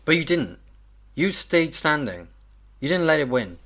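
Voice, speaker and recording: A man's voice: fairly high pitch, big pitch swings, unhurried, a bit bright. An actor playing an earnest, suspicious man from 1939 in an audio drama, direct and pressing in his speech.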